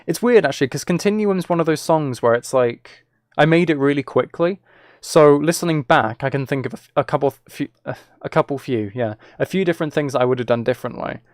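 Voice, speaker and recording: A man's voice: a little high, fairly quick, hardly any pauses, no echo, studio quality. A man chatting casually as he hosts a livestream, rambling and thinking aloud. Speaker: streamer.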